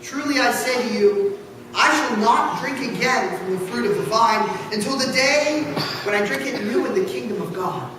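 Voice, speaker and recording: A woman reading scripture aloud in church, solemn and deliberate, with dramatic phrasing. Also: fairly high pitch, animated. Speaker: scripture reader. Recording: echoey hall from far off, faint noise.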